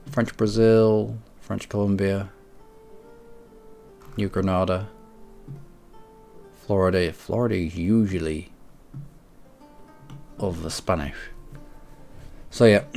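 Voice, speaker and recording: Brief: congested male streamer, deep gravelly voice, rambling self-corrections over faint music. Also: fairly even intonation, slow, pauses often, mellow. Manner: rambling, self-correcting, clears throat often. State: head cold. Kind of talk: casual game commentary.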